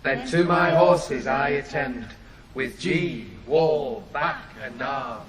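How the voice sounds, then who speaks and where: An actor playing a rustic ploughman, declaiming folk-play verse in a measured, theatrical way. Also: mid-range voice, natural voice. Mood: proud, declamatory. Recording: echoey, loud crowd behind.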